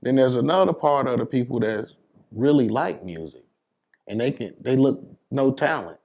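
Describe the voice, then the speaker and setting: A man's voice: mid-range voice, expressive, moderate pace, gravelly, darkish tone, lo-fi sound, slight background noise. A man from New York City speaking as a DJ in a casual interview, giving emphatic advice in informal, slangy talk. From New York City, United States. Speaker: DJ being interviewed.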